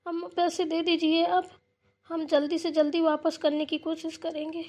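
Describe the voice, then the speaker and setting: A woman's voice: very high voice, little pitch movement, moderate pace, a little mellow. A woman voicing a troubled, pleading married daughter in a cartoon family drama, with a plaintive, wordy way of complaining. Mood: worried, pleading.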